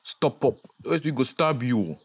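A man's voice: medium pitch, lively intonation, fairly quick, husky. A man acting a crude, threatening gang henchman in an audio play, with a Ghanaian accent. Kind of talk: street-talk dialogue in an audio play.

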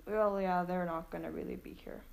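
Young swedish girl, kinda low voice, rambly and hesitant, second-guessing herself. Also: steady tone, gravelly, darkish tone. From Sweden. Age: teens. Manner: reflective, halting, self-doubting. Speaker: student podcast co-host. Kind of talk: casual chat.

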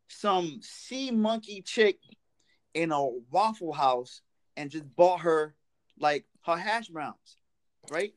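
A man in casual podcast chat, joking and animated. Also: a little high. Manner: animated joking, laughs loudly.

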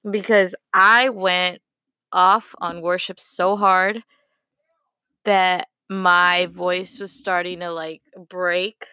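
A slightly hoarse woman hosting a casual solo podcast, talking earnestly and rambling with lots of fillers. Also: fairly low pitch, flat delivery, very slow, pushed and bright, no echo. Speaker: podcast host.

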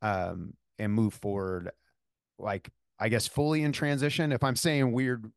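A man's voice: medium pitch, steady tone, measured pace, no echo, studio quality. A man interviewing a guest, asking questions in a careful, hesitant way with many fillers and restarts. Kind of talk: casual interview conversation.

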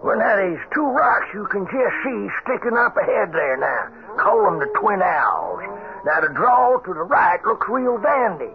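An actor playing a folksy, rambling trail scout with a Western drawl in an old-time radio western. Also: male voice, sits high, big pitch swings, unhurried, exaggerated voice. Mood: folksy, easygoing. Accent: American Western drawl. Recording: noisy, tinny old radio recording.

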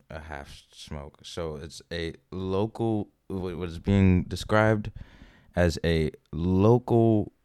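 An American man co-hosting a podcast, chatting casually and jokily as he reads out information in a halting way. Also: low voice, mellow, smooth. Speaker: podcast co-host. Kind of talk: casual comedic chat, reading out information.

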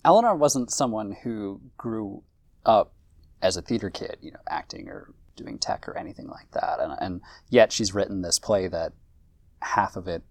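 A man interviewing actors, asking questions in a halting way with repeated words. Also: fairly low pitch, lively intonation, vocal fry, no room sound.

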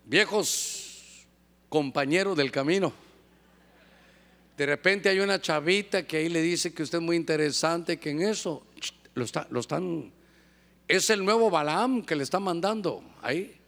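High-voiced male preacher, lively and joking, acts out little scenes. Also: a little slow, pauses often. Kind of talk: sermon.

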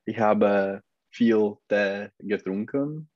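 A man's voice: fairly low pitch, extremely slow, no echo, muffled recording. A man with a Czech accent and a cold, learning German and searching for words with many hesitations. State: has a cold. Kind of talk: halting online language lesson.

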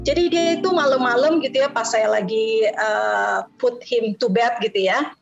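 A woman's voice: a little high, moderate pace, vocal fry, lo-fi sound, soft background music. Chatty woman with Jakarta Betawi accent. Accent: Betawi-tinged Jakarta Indonesian. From Jakarta, Indonesia. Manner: chatty, mixes in English words, frequent fillers. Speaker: interview guest, lecturer. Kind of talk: casual interview.

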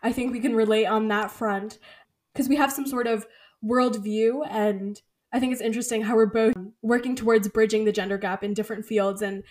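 A woman's voice: fairly high pitch, fairly even intonation, darkish tone. Upbeat woman podcast host. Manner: friendly, measured reading of the intro. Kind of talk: read introduction and interview.

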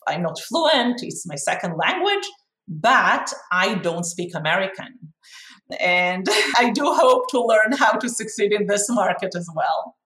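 A woman's voice: mid-range voice, sing-song, a little slow, fairly bright tone. Israeli woman, frank, in an echoey room. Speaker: chief executive being interviewed. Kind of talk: interview answers. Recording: roomy, echoey sound.

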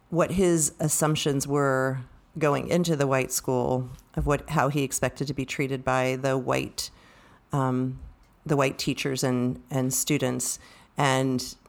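A woman co-hosts a book discussion in a conversational style. She speaks in halting phrases, building her thought piece by piece. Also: rumbling low, vocal fry. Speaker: book discussion podcast co-host.

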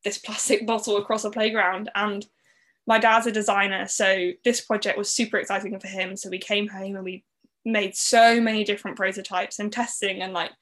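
A woman's voice: medium pitch, flat delivery, moderate pace, fairly bright tone. A young woman raised in the Netherlands, speaking with a Southern English accent, telling her story as a guest in a podcast interview in a chatty way full of "like". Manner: chatty, frequent "like" fillers.

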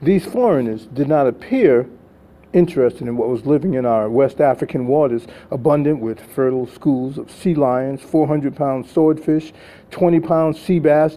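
A mellow, warm voice from an older man, pitched a little high for a man. He reads a story aloud as its author, in a dramatic storytelling style.

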